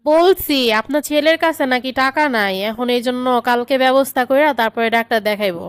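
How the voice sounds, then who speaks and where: A woman's voice: sits high, fairly quick, smooth, no room sound, clean studio sound, lightly acted. A woman playing a dutiful young daughter-in-law in a comedy cartoon, deferential, with a Bangladeshi accent. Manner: deferential, explaining. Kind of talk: comedy cartoon dialogue in colloquial dialect.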